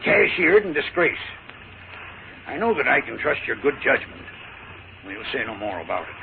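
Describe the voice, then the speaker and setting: An actor playing a stern, protective older riverboat captain, commanding, in a 1940s radio western. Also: male voice, mid-range voice, very animated, normal speed, vocal fry. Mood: stern, concerned. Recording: tinny, noisy 1940s radio recording.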